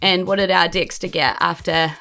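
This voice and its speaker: A woman with a New Zealand accent narrates a tabletop game podcast as dungeon master. Her voice is bright and forward, with a creaky edge. She keeps it informal and good-humoured. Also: lowish voice, fairly even intonation, moderate pace, faint music behind.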